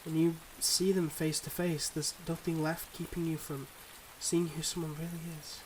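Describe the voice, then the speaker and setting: Man acting a higher-voiced, smooth mob boss boyfriend. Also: little pitch movement, normal speed, a little hiss or hum, natural voice, poor audio. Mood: confiding, teasing. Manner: smooth, confiding, teasing, speaking to a listener. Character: suave, secretive young male mob boss, devoted online boyfriend. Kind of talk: intimate ASMR boyfriend roleplay.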